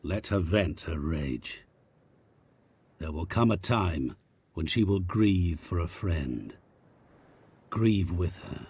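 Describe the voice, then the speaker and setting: Game cutscene: genteel, softly menacing male wish-broker, lowish voice, swooping inflection. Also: animated, takes it slowly, long pauses, breathy edge, heavily acted. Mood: ominous. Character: sly, courteous devil-like male merchant of wishes. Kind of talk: video game cutscene dialogue.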